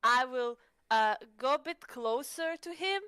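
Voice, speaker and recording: A woman's voice: high voice, measured pace, sharp tone, clean tone, no room sound, clean studio sound. A woman playing a tabletop roleplaying game, chatting casually and putting questions to the group.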